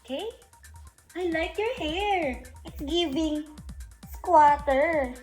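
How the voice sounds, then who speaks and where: A man's voice: extremely high pitch, very slow, soft background music, voice pushed down, full character voice. A man voicing a vain, haughty schoolgirl princess with a Filipino accent and a taunting, dismissive manner in a comedy skit. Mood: sneering. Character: vain, haughty mean-girl schoolgirl, self-declared princess.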